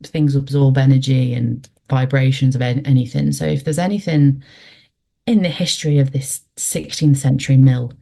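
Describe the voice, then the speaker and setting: Woman in her twenties, guest, low mellow voice, reflective and halting. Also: vocal fry. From West Yorkshire, England. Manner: reflective, hesitant, lots of fillers. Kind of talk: conversational storytelling interview.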